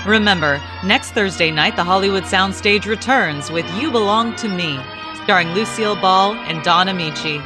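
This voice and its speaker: A woman's voice: mid-range voice, moderate pace, over music, natural voice. A woman announcer reading scripted credits in a formal, proclaiming 1950s network radio style.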